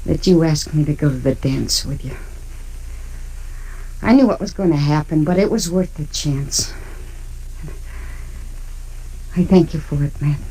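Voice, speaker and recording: An American actress playing a forgiving, proud and wistful frontier woman in an old radio western, gentle and plainspoken. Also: deep voice, moderate pace, slightly rough. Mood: touched, grateful. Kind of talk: 1950s radio western acting. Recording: noisy old radio recording.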